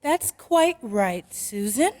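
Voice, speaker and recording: An actress playing a brisk, skeptical working mother in an old-time radio drama, speaking firmly and properly. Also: medium pitch, sing-song, a little mellow, long reverb tail. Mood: reproving. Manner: firm, deliberate, proper.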